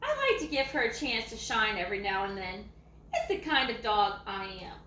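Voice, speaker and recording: A woman voicing a lazy, self-assured old ranch dog in a folksy, slow storybook read-aloud. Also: medium pitch, sing-song, bright, forceful tone, pitched below the performer's own voice. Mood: smug, folksy. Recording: echoey room, faint background noise.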